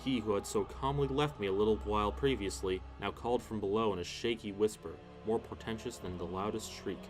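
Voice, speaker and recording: A man's voice: on the low side, fairly quick. A man narrating a horror story in the first person, solemn and theatrical. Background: music.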